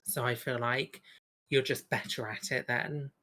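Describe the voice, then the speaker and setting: Female co-host, low register. Informal, teasing, giggly conversational delivery for a chat podcast. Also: steady tone, measured pace, bright, forceful tone. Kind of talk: casual bantering chat.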